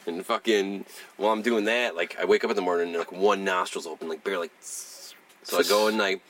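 Young man, podcast co-host, rambling profane storyteller, crisp bright tone, faint ambient noise. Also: deep voice, steady tone, fairly quick. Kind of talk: casual chat.

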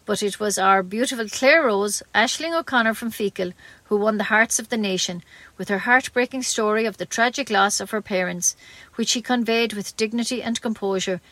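An Irish woman reading scripted local community radio news in a measured, careful read-aloud manner. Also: mid-range voice, a bit bright, dry recording.

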